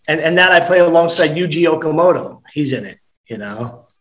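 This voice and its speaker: A man's voice: fairly high pitch, expressive, a little fast, fairly bright tone, muffled recording. Middle-aged guy chatting on a podcast, upbeat, chatty, lots of restarts. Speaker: guest actor and comedian. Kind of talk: casual podcast chat.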